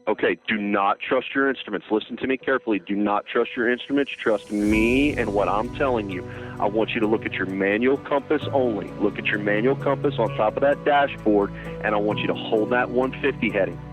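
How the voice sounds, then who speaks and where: A man working as an air traffic controller, giving calm, reassuring, directive instructions over the radio. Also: lowish voice, fairly quick, poor audio. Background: music.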